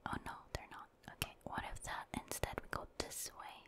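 A woman whose native language is Filipino, whispering breathily as she comments on a game for ASMR, musing aloud and softly repeating short phrases. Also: brisk. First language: Filipino (Tagalog). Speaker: ASMR gaming video creator.

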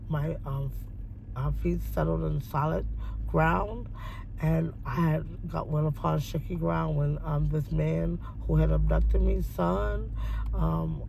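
A woman in her forties talking at length from a parked car, meandering with many ums and pauses. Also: deep voice, gravelly, warm tone, a little hiss or hum, rough recording. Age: 40s. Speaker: interviewee. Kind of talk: rambling personal monologue. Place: parked car by a window, daytime.

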